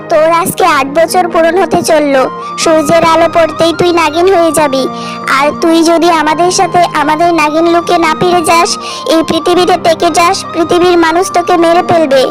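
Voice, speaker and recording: A protective snake mother acted by a woman in a fairy-tale cartoon. Her voice is very high and she talks with urgent insistence, over clearly audible music. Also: steady tone, normal speed, low-quality recording. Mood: urgent, insistent.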